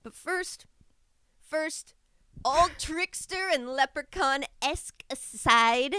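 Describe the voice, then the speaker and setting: A woman's voice: sits high, slow, no echo, studio quality. A young woman co-hosting a podcast, bubbly and teasing in casual chat. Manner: bubbly, teasing, animated storyteller. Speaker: podcast co-host. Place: indoor set.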